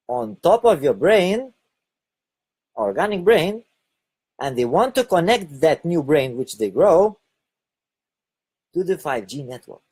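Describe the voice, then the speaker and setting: A man from Lithuania with a Lithuanian accent, giving a halting spoken monologue and often repeating or correcting his words. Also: on the high side, darkish tone, slightly rough. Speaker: online video host.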